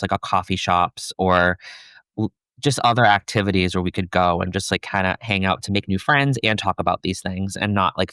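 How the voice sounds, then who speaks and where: Soft-spoken man, deep and even. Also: clear, resonant tone, no room sound. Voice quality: soft, somewhat feminine voice. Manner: soft-spoken, relaxed, many fillers like "you know" and "like". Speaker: podcast guest, content creator. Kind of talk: casual conversational interview.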